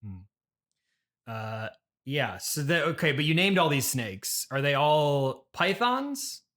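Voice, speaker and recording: A man's voice: fairly high pitch, very animated, a bit bright, exaggerated voice. Male podcast interviewer, played by an actor, bewildered and polite, hesitant conversational delivery, comedy mock interview. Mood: bewildered, probing. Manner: hesitant, halting, politely skeptical. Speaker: podcast host interviewing guests. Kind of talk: improvised mock interview, casual chat.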